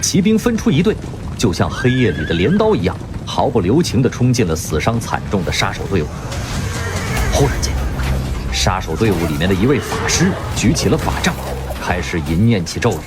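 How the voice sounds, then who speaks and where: A man narrating a radio drama in a vivid, expressive storytelling manner. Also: lowish voice, a little fast. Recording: music underneath.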